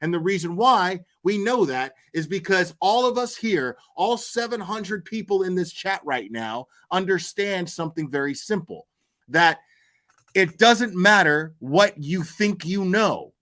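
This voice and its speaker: Blunt, higher-voiced male livestream commentator. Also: animated, unhurried, sharp tone. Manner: blunt, argumentative, coarse-mouthed. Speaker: livestream host commenting on crime cases. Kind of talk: unscripted opinionated livestream commentary.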